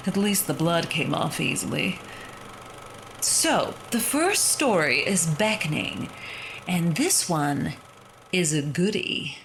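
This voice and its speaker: A gleefully morbid hostess of a creepy story show, acted by a woman, swoops up and down as she delivers darkly comic scripted lines. Her manner is theatrical and playful, over clearly audible background noise. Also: on the low side. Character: macabre horror hostess with gleefully morbid humour. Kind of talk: scripted comic horror introduction.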